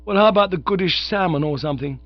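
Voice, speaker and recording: A man's voice: sits high, fast, dry recording, muffled recording, voice pushed up, natural voice. A man voicing a breezy young Oxford student, rattling on chummily. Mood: breezy, chatty. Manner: rattling, chummy, full of "you know".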